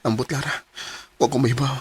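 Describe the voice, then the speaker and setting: A man with a Cebuano accent playing a young man who narrates his own love story in a radio drama, earnest and loud. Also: mid-range voice, fairly even intonation, a little fast, slight background noise, natural voice. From Bohol, Philippines.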